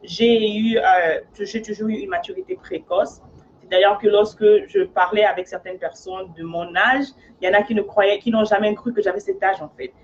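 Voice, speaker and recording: A woman's voice: mid-range voice, animated, moderate pace, dull audio, slight background noise. A woman from Africa who works as a life coach, talking in an earnest, casual monologue and often checking in with her listener.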